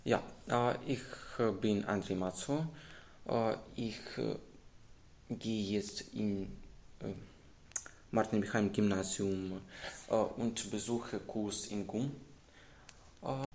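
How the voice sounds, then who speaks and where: Male, 16, Ukrainian accent. Lowish pitch, slow and hesitant, an interviewee hunting for words. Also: a little mellow. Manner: halting, hesitant, searching for words. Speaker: interviewee, refugee student. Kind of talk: interview answers.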